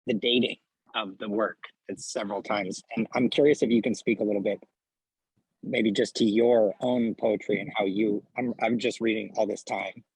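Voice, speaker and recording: A man with a husky, slightly low voice asks a question in halting steps. The sound is dry and close. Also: steady tone, a little mellow, dry recording, low-quality recording. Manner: hesitant, lots of ums. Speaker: audience member asking a question. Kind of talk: question in a discussion.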